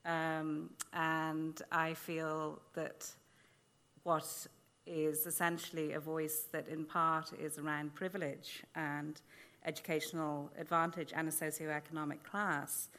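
A woman from Ireland with an RP accent, a literature lecturer speaking in a measured, reflective way on a live panel. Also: deep voice, little pitch movement, fairly bright tone. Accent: Received Pronunciation. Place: small museum event room.

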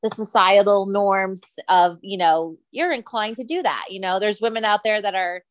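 A woman chatting casually as co-host, full of fillers and quick to laugh. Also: mid-range voice. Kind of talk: casual chat.

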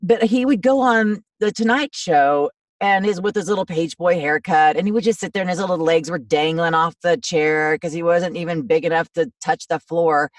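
A woman in her fifties with a low, raspy voice, chatting in a rambling, self-deprecating way as a voiceover actor guest in a podcast interview. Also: no echo, clean studio sound, far from the mic. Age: 50s.

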